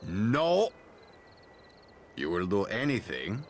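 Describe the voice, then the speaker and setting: Deep, swooping stern patriarch. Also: male voice, wildly swooping pitch, slow, soft background music, full character voice. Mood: stern, exasperated. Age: middle-aged. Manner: scolding, theatrical, commanding. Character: stern, theatrical village patriarch and veteran vampire hunter. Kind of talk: video game character dialogue.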